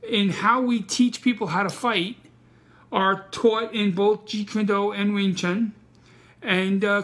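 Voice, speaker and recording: A man's voice: high voice, a bit bright. A man with a Brooklyn accent, co-hosting a casual martial arts podcast, loose and self-deprecating with his jokes. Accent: New York. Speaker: co-host, martial arts teacher.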